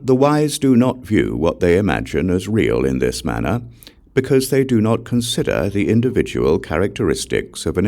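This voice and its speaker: A man reads an ancient scripture as an audiobook narrator. His voice sits very low, with a creaky edge, and rises and falls widely across phrases. The delivery is deliberate, formal and measured. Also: a little mellow.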